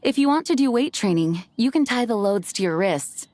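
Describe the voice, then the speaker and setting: An actress playing a patient, composed knight master in a video game, speaking in a measured, encouraging, instructive way. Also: female voice, on the high side, lively intonation, darkish tone, no echo, close to an everyday voice. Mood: calm, encouraging.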